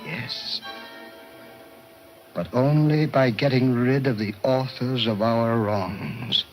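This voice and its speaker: A menacing criminal mastermind from an old 1940s serial. He speaks very slowly in a low voice, theatrical and mock-polite, like a formal address of doom. Music plays clearly behind him. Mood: cold threat. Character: menacing male criminal mastermind posing as voice of death. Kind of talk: 1940s film serial villain acting, radio broadcast speech.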